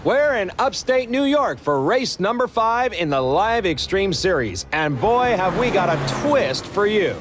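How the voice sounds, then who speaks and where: An actor playing an excitable, showy host of a televised extreme racing series in a video game. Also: male voice, high voice, measured pace, few pauses, background noise. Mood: hyped. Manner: showy, hyped announcer delivery. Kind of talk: sports broadcast presenting in a video game.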